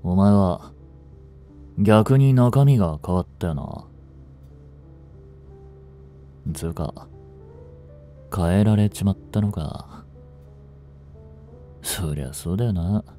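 A man playing a blunt, languid young delinquent and protective childhood friend, drawling gruffly and teasingly in an intimate audio drama. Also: low voice, slow, long pauses, dark tone, soft background music. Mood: teasing, sullen.

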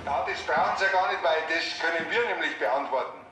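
A man's voice: a little high, quick pace, close to an everyday voice. An actor playing a cheeky Bavarian policeman in a TV crime comedy, with showy mock quiz-host patter. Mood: cheeky, insistent. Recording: roomy, distant sound with music.

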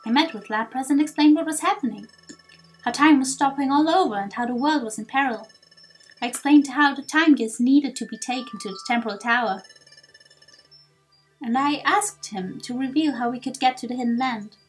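Woman as childlike guildmaster creature, high voice, earnest, kindly, video game dialogue, faint music. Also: normal speed, lightly acted, rough recording.

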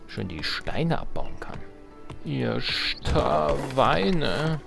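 A man's voice: medium pitch, very animated, very slow, creaky, music in the background. A man giving casual, off-the-cuff gameplay commentary, muttering to himself with playful exclamations. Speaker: let's player.

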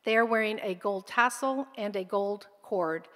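A woman's voice: mid-range voice, a bit bright. Woman announcing honors in a big hall. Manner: formal, deliberate announcing. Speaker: school administrator announcing honors. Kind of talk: ceremony announcements. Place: large concert hall stage. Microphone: podium microphone. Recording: long hall reverb, faint crowd.

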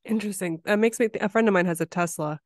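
A woman's voice: medium pitch, fast talker, darkish tone, no room sound, clean studio sound. A woman hosting a podcast, chatting casually and conversationally. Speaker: podcast host.

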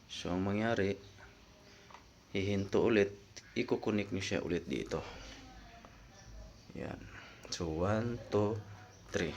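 A man's voice: on the low side, takes it slowly, gravelly, long pauses, a little hiss or hum. A man talking casually as a vlogger, explaining things step by step and addressing his viewers informally. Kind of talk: casual explainer vlog talk.

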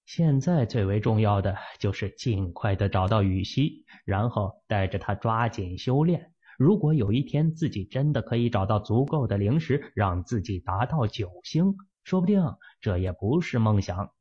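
A man narrating a fantasy novel as an audiobook, in a steady, measured storytelling manner, in a muffled lo-fi recording. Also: on the low side, slightly rough.

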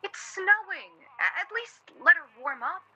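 A woman's voice: very high-pitched, big pitch swings, unhurried, bright, forceful tone, heavily acted, rough recording. An actress playing an insistent, concerned woman in a naturalistic radio drama, pleading and exasperated. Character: insistent, concerned woman persuading a stubborn man.

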